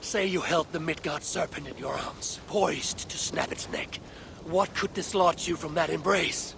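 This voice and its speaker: An actor playing a grieving, stubborn old Norse god in a video game, gravelly and solemn in delivery. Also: male voice, on the high side, a little slow, background noise. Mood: determined. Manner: solemn, archaic, commanding. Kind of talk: dramatic video game acting.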